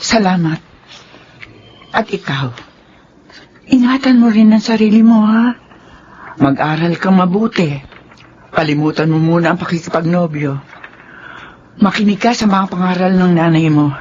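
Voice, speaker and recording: An actress playing an earnest, forgiving middle-aged Filipino aunt in a radio drama, gently admonishing as she speaks. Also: on the low side, normal speed, pauses often, natural voice. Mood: affectionate, advising. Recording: muffled radio drama, faint background noise.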